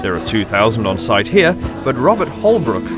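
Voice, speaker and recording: Man reporting over loud music. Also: on the low side, sing-song, moderate pace. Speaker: radio news reporter. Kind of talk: scripted news narration.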